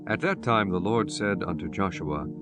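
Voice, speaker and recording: A man with a fairly low voice narrates a Bible audiobook. His reading is solemn, formal and measured, with guitar music clearly playing behind him.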